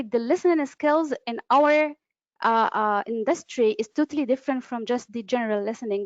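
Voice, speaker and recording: Woman, fairly high voice, lecturing, fillers and restarts, dry dull webinar audio. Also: darkish tone, no room sound. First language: Arabic. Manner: explanatory, with frequent fillers and restarts. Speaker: webinar presenter, interpreter. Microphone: computer mic, online webinar.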